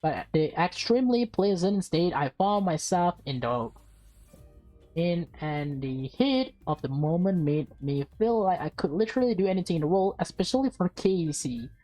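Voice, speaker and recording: A woman's voice: lowish voice, animated, moderate pace, dark tone, soft background music, poor audio. A woman reading a visual novel aloud with casual asides, often stumbling and rereading phrases. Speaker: gaming video host, reader.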